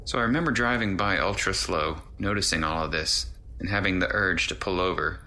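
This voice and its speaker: A man's voice: deep voice, brisk, pushed and bright. A man narrating a first-person scary story in a conversational, descriptive way. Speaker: narrator reading a listener's true story.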